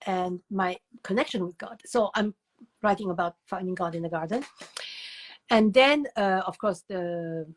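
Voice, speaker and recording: Chatty older woman, an animated storyteller. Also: mid-range voice, normal speed. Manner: animated storyteller, digressive, emphatic repetition. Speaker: guest author and educator. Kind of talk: conversational interview answers.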